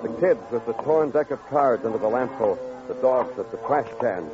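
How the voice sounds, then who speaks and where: An actor playing a hard-boiled, world-weary New York police detective in old-time radio noir, brooding, poetic and terse. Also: male voice, medium pitch, expressive, normal speed, lightly acted. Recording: dull vintage radio recording. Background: music.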